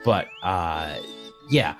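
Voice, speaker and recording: Guy on the lower side doing rambly jokey stream announcements, music behind him. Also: animated, extremely slow. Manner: rambling, self-interrupting, joking. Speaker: host and tabletop game master. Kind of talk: casual stream announcements, chat.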